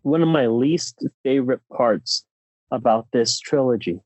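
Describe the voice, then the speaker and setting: A man with a mid-pitched voice talks casually as a podcast co-host. He is opinionated and emphatic, and the sound is close and dry with little room in it. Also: unhurried, darkish tone, no room sound. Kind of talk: casual film discussion.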